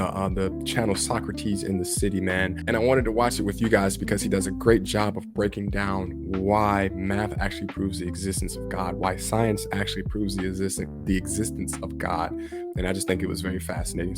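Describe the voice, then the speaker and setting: A man hosting a reaction video, giving casual commentary in an informal, repetitive way. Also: low voice. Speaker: YouTube reaction host.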